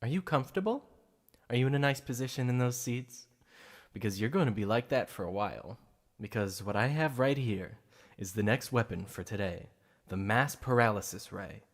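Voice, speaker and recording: A man's voice: medium pitch, lively intonation, normal speed. A man performing a boastful, playful supervillain for an ASMR roleplay, with a secret obsession behind the show. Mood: gloating showman. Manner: showy, mocking, playful.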